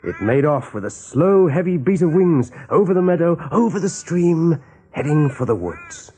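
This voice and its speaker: A man narrating a radio play as a dramatic storyteller, with a mellow, husky voice. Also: a little high, very animated, moderate pace, slight background noise.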